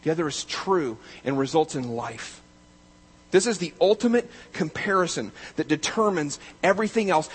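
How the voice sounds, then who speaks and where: A man, as a pastor, preaching a sermon, building to loud, emphatic declarations and then dropping to quiet, measured reading. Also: fairly high pitch, lively intonation, breathy edge.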